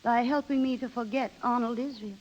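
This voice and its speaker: Actress as a high-voiced, vain wife, old radio. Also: female voice, fairly even intonation, fairly quick, darkish tone, lightly acted. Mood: coaxing, gushing. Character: restless, vain married woman clinging to youth. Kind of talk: 1930s radio acting. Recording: dull 1930s radio broadcast recording.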